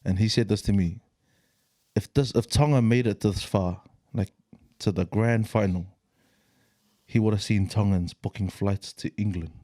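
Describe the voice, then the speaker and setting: A young man with a New Zealand accent in casual podcast chat, relaxed and slangy, leaning on "like" and "bro". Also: lowish voice, normal speed, no echo. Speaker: podcast co-host.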